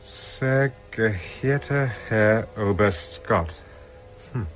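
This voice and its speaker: Self-deprecating English ex-officer, courteous and hesitant, radio play, faint music behind. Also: male voice, on the low side, extremely slow, low-quality recording. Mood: pensive. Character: polite, diffident English ex-army captain between jobs. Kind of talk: British radio drama acting.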